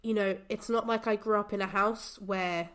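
A woman's voice: medium pitch, fairly even intonation, normal speed, a bit bright. A woman from Portugal, chatting as a podcast guest and often breaking off to restart her sentences. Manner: chatty, self-interrupting, laughs often. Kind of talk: casual conversation.

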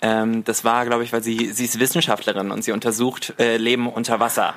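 A young man with an average-pitched voice speaks as a guest in a casual podcast conversation. He lays out his points playfully, with a mock-serious air. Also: brisk. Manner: playful, animated explaining with mock-serious arguments. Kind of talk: casual humorous podcast chat.

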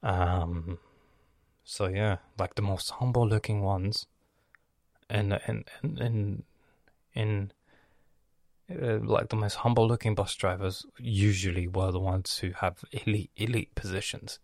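A man raised in Lebanon hosts his own podcast, speaking low with a gravelly, fry-laden voice. He rambles freely, swears casually and often loses his thread. The recording sounds dry and close. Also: fairly even intonation, slow, darkish tone, dry recording. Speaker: podcast host.

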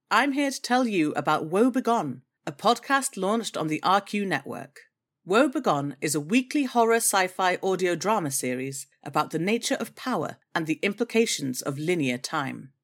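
A female announcer with a slightly low voice reads promotional copy. Her delivery is scripted, introducing a show to listeners. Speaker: podcast voice actor as announcer.

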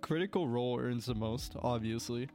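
A man's voice: mid-range voice, normal speed, music in the background. A young man with a cold, congested, chatting casually as a podcast co-host and rambling with lots of filler words. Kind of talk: casual chat.

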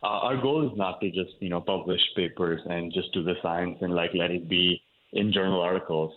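A man's voice: low-pitched, normal speed, narrow, tinny audio, slight background noise. A man from India, a cardiologist, explaining his work in an interview, with many fillers and restarts. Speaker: cardiologist guest. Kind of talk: interview answers.

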